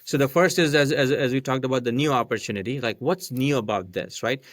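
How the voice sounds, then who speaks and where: A man's voice: mid-range voice, fairly quick, dry recording, studio quality. A man whose first language is not English, a podcast guest explaining a marketing method step by step and often checking in with "right?". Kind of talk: casual explanatory chat.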